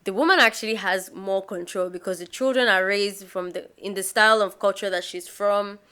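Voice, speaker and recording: A young Nigerian woman chatting with friends on a podcast, her voice bright and crisp. She tells stories with emphatic, animated reactions. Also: medium pitch, moderate pace. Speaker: podcast co-host. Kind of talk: casual group chat.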